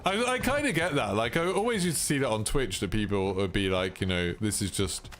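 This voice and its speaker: Relaxed British male streamer with a deep voice, easygoing chat over loud game gunfire. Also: fairly quick, a little hiss or hum. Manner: laid-back, chilled delivery. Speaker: gaming streamer. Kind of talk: casual live-stream chat over gameplay. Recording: gameplay gunfire and clicks behind.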